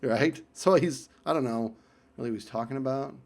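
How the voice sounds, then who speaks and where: An American man tells casual anecdotes as a video creator, in an animated way, chuckling and acting out exchanges with friends. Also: mid-range voice, a little fast. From United States. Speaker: video creator telling stories about friends.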